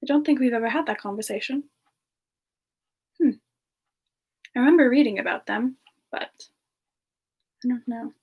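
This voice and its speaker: A woman playing a sweet, chatty young female demon, talking gently and hesitantly in a casual semi-ASMR live chat. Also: fairly high pitch, breathy edge. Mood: gentle, friendly. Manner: gentle, hesitant, pausing often mid-sentence. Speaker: host of a live Q&A stream.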